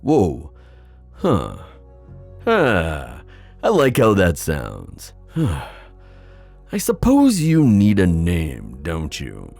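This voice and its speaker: A man playing a proud, protective sea god and father in a fantasy ASMR roleplay, musing haltingly with sighs. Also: sits low, wildly swooping pitch, gravelly, darkish tone, faint music behind, heavily acted. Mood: gentle, reassuring.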